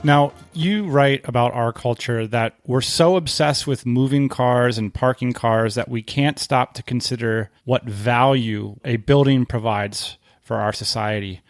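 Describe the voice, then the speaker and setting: A man's voice: medium pitch, a little slow, hardly any pauses, no room sound, faint music behind. A man hosting a podcast, reading prepared questions and promotional copy in a scripted style. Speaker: podcast host and interviewer. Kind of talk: scripted podcast interview questions and sponsor read.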